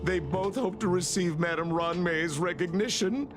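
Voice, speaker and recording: A man's voice: high voice, normal speed, over music, natural voice. A man voicing a zealous, fawning devotee from a video game in a melodramatic, grandiose read-aloud. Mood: zealous, insistent. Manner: melodramatic, grandiose, swooping delivery. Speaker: game streamer.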